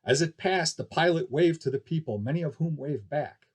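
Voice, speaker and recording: A male narrator reads fiction aloud in a scripted storytelling style. His voice sits slightly high for a man and has a husky, unpolished grain. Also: expressive, moderate pace, a little mellow. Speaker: audiobook narrator reading a novel.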